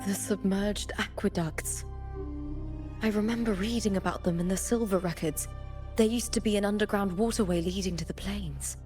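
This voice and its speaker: A woman voicing a solemn, resolute warrior heroine in a video game, speaking in a measured, earnest, formal way. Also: medium pitch, natural voice. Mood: grave, observant. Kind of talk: scripted video game voice acting. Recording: long reverb, game music behind.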